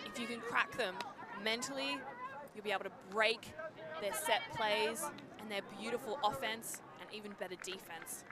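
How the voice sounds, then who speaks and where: A woman's voice: medium pitch, normal speed. A woman giving live colour commentary on a sports match as co-commentator, explaining the play in an emphatic way. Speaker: co-commentator, analyst. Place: outdoors beside a sports field. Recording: outdoor crowd and traffic noise. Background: crowd and outdoor ambience.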